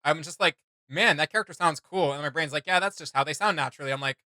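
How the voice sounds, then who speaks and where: A young man talks very quickly in a sharp, forward voice. He rambles enthusiastically through a casual reaction, recorded dry in a home studio. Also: fairly high pitch, dry recording. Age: young adult. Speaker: reaction video host. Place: small home studio room.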